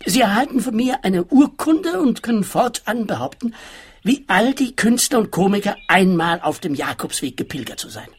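An actor with a husky, high voice and a Swiss accent plays a fussy, shifty forest owner in a comic radio play. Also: male voice, animated, moderate pace. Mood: earnest, furtive. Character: fussy, shifty male forest owner, small-time schemer. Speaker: client character. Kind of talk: comic radio detective drama.

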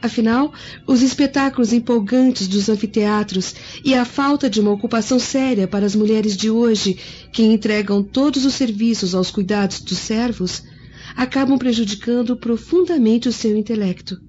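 A woman's voice: on the high side, moderate pace, a little mellow, natural voice. A woman playing a refined, bookish young Roman noblewoman in a radio drama, speaking formally and earnestly. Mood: proud, earnest. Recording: dull lo-fi audio with music behind.

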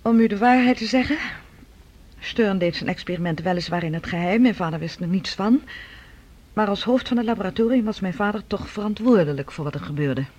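An actress playing the earnest, formal adult daughter of a scientist in a radio drama, speaking persuasively. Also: female voice, mid-range voice, a little fast, darkish tone, close to an everyday voice. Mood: earnest, defensive. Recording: dull, lo-fi radio recording.

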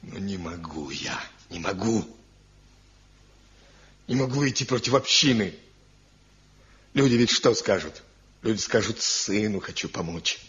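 A man's voice: on the low side, expressive, unhurried, long pauses, fairly bright tone. An actor playing a worried, wavering older village father in a 1970 radio drama, hesitant and grumbling, recorded with muffled vintage sound. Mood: troubled, defensive.

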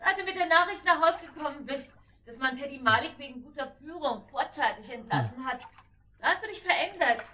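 An actress playing an inquisitive, needling wife in a radio drama. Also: female voice, sits high, animated, fairly quick, bright, forceful tone, recorded from a distance. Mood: probing. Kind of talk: German radio drama acting.

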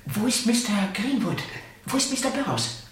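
A woman's voice: a little high, big pitch swings, moderate pace. An actress playing a dignified, reserved elderly captive lady in a German radio drama. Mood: guarded. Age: older. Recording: echoey room, distant, faint noise.

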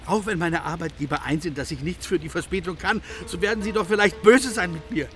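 A man's voice: sits high, fast talker, a bit bright, faint music behind. An actor playing a thin, grey, timid man in a radio play, pleading anxiously and with pedantic politeness. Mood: rising distress.

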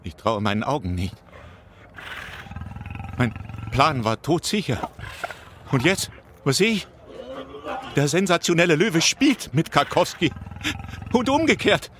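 Radio play villain: boastful jilted brewer, gloating and theatrical, sharp-edged voice, very animated delivery. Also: male voice, a little high, measured pace, long pauses, a little hiss or hum. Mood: incredulous. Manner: theatrical, self-important, gloating. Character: vengeful, pompous betrayed husband, a boastful brewery owner. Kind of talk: theatrical radio drama monologue.

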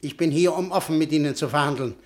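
A man's voice: a little high, fast talker, lightly acted. An actor playing a blunt, arrogant rich male industrialist who talks curtly and means business, in an old German radio play. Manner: curt, businesslike. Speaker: wealthy industrialist.

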